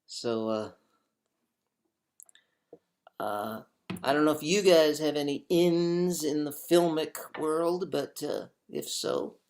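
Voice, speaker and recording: Slow-talking rambling man. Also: a little high, animated, background noise. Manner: halting, rambling, full of fillers and restarts. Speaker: singer-songwriter hosting a home stream. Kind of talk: casual rambling chat.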